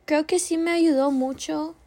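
A woman's voice: high voice, steady tone, warm tone. A young woman student with an American English accent hosting her own class podcast, speaking carefully and correcting herself now and then. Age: young adult. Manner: slow, careful delivery with small self-corrections.

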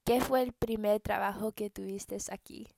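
A young woman asks her prepared questions earnestly and carefully, in a mellow, soft-toned voice. The recording is close and dry. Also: mid-range voice, fairly even intonation, moderate pace, vocal fry, no room sound. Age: young adult. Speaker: interviewer, daughter, student. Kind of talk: reading prepared interview questions.

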